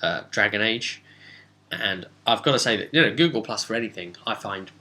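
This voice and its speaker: A man's voice: fairly low pitch, expressive, moderate pace, pushed and bright. A British man casually rambling through a recap as game master, chatty and wry, often interrupting himself. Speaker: tabletop role-play game master and host. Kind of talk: casual rambling recap of a role-play session.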